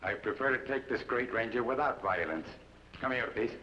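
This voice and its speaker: A man's voice: medium pitch, animated, normal speed, bright, forceful tone. A man playing a suave, menacing bandit captain with a put-on Mexican accent and mocking courtesy, in an old radio drama. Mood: mocking polite. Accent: put-on Mexican Spanish. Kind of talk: 1950s radio drama acting. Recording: muffled old radio broadcast with hiss.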